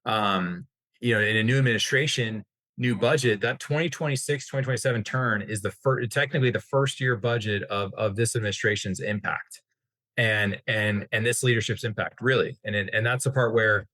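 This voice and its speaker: American male expert interview guest, lowish voice, halting and wordy delivery. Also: steady tone, sharp tone, no room sound. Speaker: interview guest, healthcare industry executive. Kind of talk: informal expert discussion.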